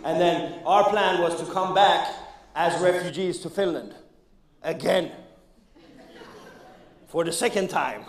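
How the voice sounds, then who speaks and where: A man's voice: high-pitched, takes it slowly, pauses often. A man from Iran doing a live stand-up comedy set, chatting with the crowd in a rambling way with lots of fillers and restarts. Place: comedy club stage before a live audience. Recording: echoey room, voice from far off.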